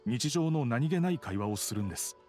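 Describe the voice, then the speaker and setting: A devoted middle-aged family man from a video game, voiced by an actor, polite and earnest with a touch of wistfulness. His mid-pitched voice moves quickly and expressively. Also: lively intonation, darkish tone, soft background music, natural voice. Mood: earnest, wistful.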